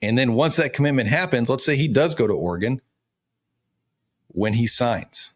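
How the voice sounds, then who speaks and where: A man's voice: mid-range voice, lively intonation, moderate pace. Male sports analyst, 30s, emphatic commentary delivery, voice strained and cracking from losing it. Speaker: sports show host and recruiting analyst. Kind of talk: college football recruiting commentary.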